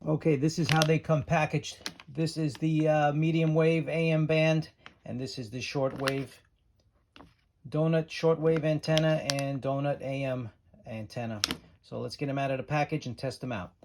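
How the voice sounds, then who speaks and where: A man giving a casual, unscripted hands-on product demo, halting and pausing as he goes. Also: fairly high pitch, fairly even intonation. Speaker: radio hobby reviewer, video host. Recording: loud radio static and hiss behind. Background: shortwave radio noise.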